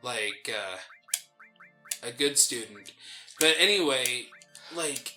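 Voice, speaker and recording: A man's voice: mid-range voice, animated, slow, bright, forceful tone. A young man co-hosting a gaming show, chatting casually in a rambling, slangy way as he plays. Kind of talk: casual chat during video game commentary. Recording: game music behind the voice.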